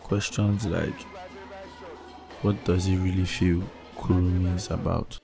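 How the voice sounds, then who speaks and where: A man's voice: low-pitched, flat delivery, slow pace, vocal fry. A man reading scripted documentary narration. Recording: voice-over with music behind.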